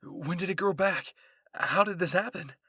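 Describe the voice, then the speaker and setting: A man's voice: sits high, moderate pace, sharp tone, husky, no echo, clean studio sound, pitched above the performer's own voice, heavily acted. A man voicing an intense, blunt and protective teenage boy soldier in an anime fan fiction roleplay. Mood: startled, urgent.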